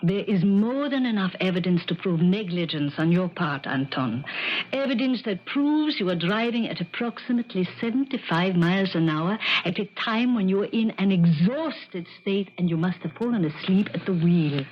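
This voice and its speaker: A woman's voice: mid-range voice, animated, moderate pace, slight background noise, lightly acted. An actress playing a firm, devoted older woman in a 1970s radio drama, emphatic and coaxing, with foreign-style phrasing. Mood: firm, earnest. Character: old, stout, firm, devoted woman companion of pianist. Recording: dull vintage radio recording.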